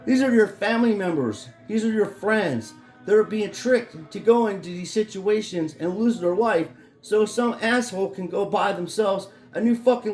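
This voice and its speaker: A middle-aged man with a fairly high, hoarse voice rants into the camera, cursing and rambling with angry conviction. His voice rings off the bare walls of an echoey room. Faint music plays underneath. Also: darkish tone. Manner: angry, profane, rambling rant. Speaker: vlogger. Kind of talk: ranting vlog. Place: his home in Florida.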